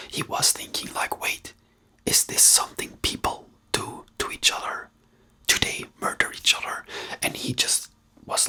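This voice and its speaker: A person speaking in a breathy whisper, giving unscripted ASMR commentary in a hesitant, rambling way with frequent restarts. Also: bright, forceful tone. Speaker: ASMR host reviewing a TV series.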